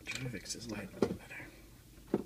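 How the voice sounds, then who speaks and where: A soft-spoken man hosting a casual ASMR unboxing, hushed and often slipping into whispers. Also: fairly low pitch, quick pace, heavy background noise. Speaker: unboxing video host.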